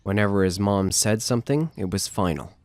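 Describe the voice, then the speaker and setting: Deep-voiced male horror narrator. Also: a little fast, a little mellow, dry recording. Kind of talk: scripted horror story narration.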